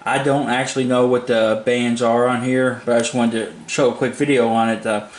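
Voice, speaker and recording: Flat-toned man in an echoey room. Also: medium pitch, normal speed. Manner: plain-spoken, informal, rambling. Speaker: hobbyist product reviewer. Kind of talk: casual unscripted demo talk. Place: indoors at a home desk. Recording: echoey room, faint background noise.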